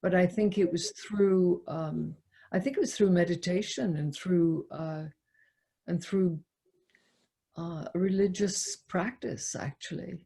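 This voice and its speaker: Slow, husky woman leading a discussion. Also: lowish voice, warm tone. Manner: halting, reflective, repeats words as she explains. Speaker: poetry lecturer leading a discussion. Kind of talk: poetry talk and open group discussion.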